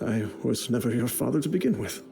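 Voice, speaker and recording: A man's voice: mid-range voice, expressive, a little fast, gravelly, over music. An actor playing an ailing older mercenary father in a video game, boastful and affectionate, rambling through a letter in voice-over. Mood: confiding, amused. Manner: rambling, chuckling, jovial.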